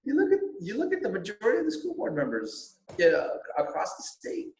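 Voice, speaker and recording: Earnest man, roomy echo, halting speech. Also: high-pitched, huge pitch swings, darkish tone, a little hiss or hum. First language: Vietnamese. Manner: halting, many restarts. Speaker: teacher and school board candidate. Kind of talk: earnest interview talk.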